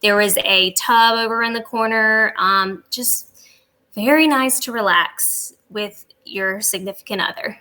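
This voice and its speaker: A woman's voice: medium pitch, a little slow, pushed and bright, low-quality recording. A woman speaking as a podcast guest, giving conversational answers in an interview. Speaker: podcast guest, travel agent.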